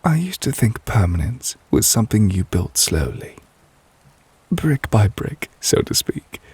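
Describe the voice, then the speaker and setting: A man playing a devoted, tender but possessive lover in a romantic ASMR roleplay, speaking softly and intimately in a measured, wistful way, close to the microphone. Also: on the low side, very animated, no echo, exaggerated voice. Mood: thoughtful, loving. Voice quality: soft, breathy, husky. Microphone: close, dry microphone.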